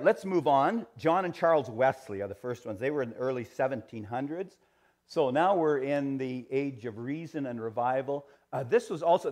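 Middle-aged man, Sunday school teacher, mid-pitched, animated, filler-heavy delivery in a small church room. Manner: animated, gesturing, many fillers and restarts, trails off quietly. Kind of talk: church history lesson. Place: small church sanctuary, indoors.

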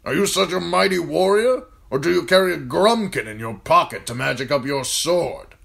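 Guy doing a grumpy old commander, gravelly and barky, voice swinging all over the place. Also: a little high, lively intonation, normal speed, a bit bright, voice pushed up, exaggerated voice. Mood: gruff. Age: older. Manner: blunt, needling, barking questions. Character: gruff old male military commander. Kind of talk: audiobook character voice.

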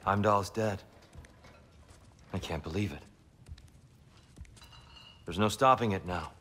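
A man's voice: sits low, slow, pushed and bright, slight background noise, exaggerated voice. A man playing a grave, anxious giant god in a dramatic fantasy video game. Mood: grave worry.

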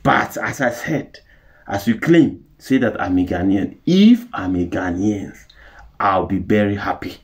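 A young Nigerian man giving animated, opinionated commentary to camera in a room, with a Nigerian accent and pidgin-tinged English. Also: fairly low pitch, unhurried. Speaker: news commentary vlogger. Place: indoor room with curtains.

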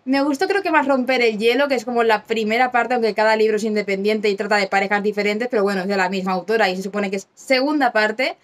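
A hoarse young woman chatting casually to camera as a book vlogger, recorded at home on a phone or camera held close. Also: mid-range voice. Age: young adult. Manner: chatty, quick asides and fillers. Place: small rooms at home and an outdoor terrace.

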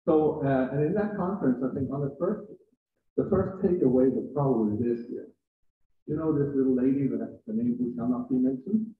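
Man lecturing, distant tinny room recording. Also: moderate pace. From Denmark. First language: Danish. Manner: conversational, quizzes the audience. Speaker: guest presenter. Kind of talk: lecture presentation. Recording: distant, roomy, tinny with faint rumble.

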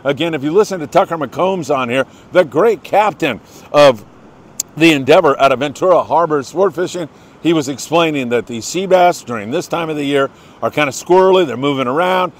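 A man's voice: fairly high pitch, a little fast. An older American man hosting a casual fishing report, chatty, folksy and enthusiastic. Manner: chatty, enthusiastic, folksy, congratulating people by name. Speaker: host of a fishing report show.

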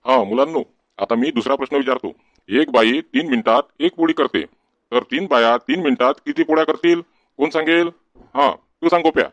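A man's voice: mid-range voice, moderate pace, no echo, highs cut off, close to an everyday voice. Man acting an exasperated village schoolteacher, dry sound. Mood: instructive. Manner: scolding, questioning, name-calling. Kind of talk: comic cartoon dialogue.

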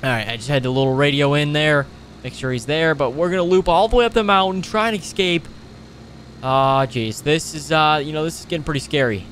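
A young man doing casual, excitable roleplay commentary over a video game, animated and slangy with frequent exclamations. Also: on the high side, fairly quick, slight background noise. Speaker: gaming video host.

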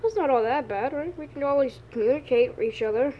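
A woman's voice: sits high, quick pace, dark tone, a little hiss or hum, lightly acted, poor audio. A woman playing a brash, playful polar bear in an amateur cartoon skit, blunt and teasing.

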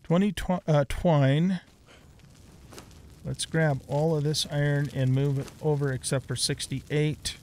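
A man's voice: on the high side, steady tone, slow, a little mellow, a little hiss or hum. A middle-aged man giving casual let's play commentary on a video game, thinking aloud and muttering to himself.

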